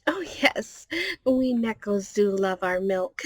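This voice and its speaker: A woman's voice: medium pitch, slow. A woman playing a friendly, polite catgirl secretary in an ASMR roleplay, chatty, ending sentences with a little meowing tic. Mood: chatty, cheerful.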